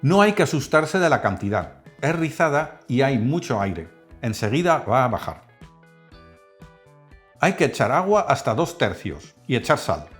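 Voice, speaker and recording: Male cooking host, animated delivery over faint music. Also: mid-range voice, a little slow. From Netherlands. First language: Dutch.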